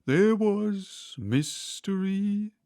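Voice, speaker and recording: A man plays a sorrowful old-fashioned storybook turtle who draws every word out with a sighing, mournful weight. The pitch sits fairly high for a male, and the sound is dry and close. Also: animated, dark tone, smooth, no echo, heavily acted. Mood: slow, mournful. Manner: drawn-out, mournful delivery with long stretched words. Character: melancholy, sighing, slow male Mock Turtle. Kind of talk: storybook character reading.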